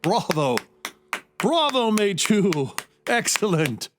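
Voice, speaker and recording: A man's voice: fairly high pitch, sing-song, a little slow, music in the background. A man playing an effusive, courteous genius surgeon in a radio play, with a genteel, theatrical manner. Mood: effusive, welcoming. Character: exuberant, courteous paralyzed male genius surgeon.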